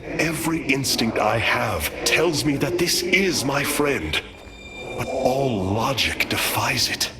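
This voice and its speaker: An actor playing a noble, troubled young alien leader in a video game cinematic, speaking in a formal, grave and measured manner. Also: male voice, mid-range voice, animated. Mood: sorrowful. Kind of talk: dramatic game cinematic acting. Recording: loud game music behind the voice. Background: loud cinematic music.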